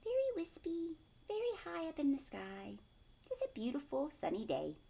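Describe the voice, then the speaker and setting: A woman in her thirties reading aloud and explaining to young children in an expressive way, asking simple questions, recorded at home on a webcam. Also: sits high, normal speed, gravelly, slight background noise. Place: living room at home, indoors. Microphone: webcam or laptop at arm's length.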